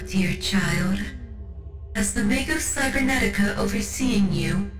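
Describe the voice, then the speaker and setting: A woman voicing a solemn, devout cyborg tech priest surgeon in a formal, reassuring ASMR roleplay. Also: medium pitch. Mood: formal, instructive. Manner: slow, formal, ceremonial, reassuring. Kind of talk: ASMR roleplay, scripted monologue. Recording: roomy echo, distant, music behind.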